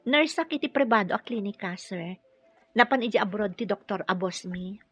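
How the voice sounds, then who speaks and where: A woman's voice: mid-range voice, animated, normal speed, a bit bright, muffled recording, natural voice. An actress playing an earnest, polite nurse and single mother in a radio drama, slightly hesitant and questioning, with a Filipino accent. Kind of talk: Ilocano radio drama acting.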